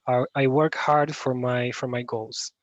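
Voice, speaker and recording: A man's voice: medium pitch, little pitch movement, a little slow, no room sound. A man from Romania introducing himself hesitantly on a video call. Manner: hesitant, with many fillers. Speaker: workshop participant. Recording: dull lo-fi call audio.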